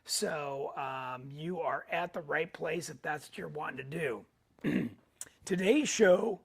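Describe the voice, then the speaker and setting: A middle-aged man hosting a weekly live tech show in a casual way, hoarse, clearing his throat often and pausing on fillers. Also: fairly high pitch.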